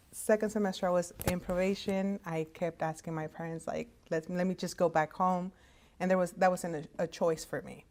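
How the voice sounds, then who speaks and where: A woman whose first language is Spanish, giving a casual career talk to students in a conversational, halting way, over a video call. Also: lowish voice, a little mellow. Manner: conversational, halting with frequent fillers and restarts. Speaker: hospital interpreter services director. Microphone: video call setup.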